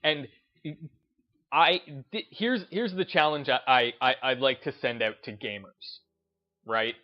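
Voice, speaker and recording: A man hosting a gaming video essay, speaking in an emphatic, deliberate, unscripted way and repeating phrases to drive his points home. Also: medium pitch, muffled recording. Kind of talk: opinionated commentary, unscripted talk to listeners.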